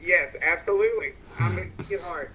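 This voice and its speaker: A man's voice: fairly high pitch, lively intonation, fast talker, pushed and bright, slightly rough. A man with a Louisiana Southern accent calling in to a live stream, friendly and drawling, saying y'all. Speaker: caller. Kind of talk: casual phone call. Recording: phone line with faint noise.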